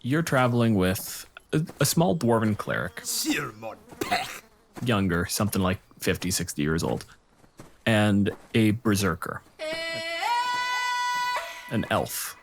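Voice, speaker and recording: A man acting as game master, narrating an improvised tabletop story with dramatic pauses and dry humor. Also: lowish voice, lively intonation, noisy background.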